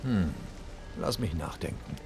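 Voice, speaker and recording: A man's voice: deep voice, expressive, a little slow, vocal fry, background noise, heavily acted. An actor playing a jaded, sardonic, cruel nobleman bandit in video game dialogue, with a wry, dismissive drawl. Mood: mocking. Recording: rain and outdoor ambience behind.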